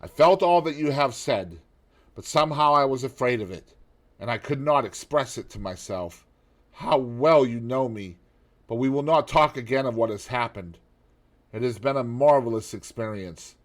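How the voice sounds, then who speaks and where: A man reading as a remorseful young gentleman. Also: mid-range voice, lively intonation, moderate pace, natural voice. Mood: earnest. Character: troubled handsome young gentleman, brooding and remorseful. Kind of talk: audiobook character reading.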